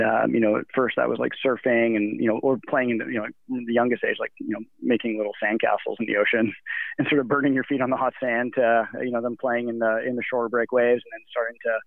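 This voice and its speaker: A man's voice: mid-range voice, no room sound. A man being interviewed over a remote call, talking casually in a rambling way with many fillers and restarts. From Nigeria. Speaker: guest. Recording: tinny phone-like call line.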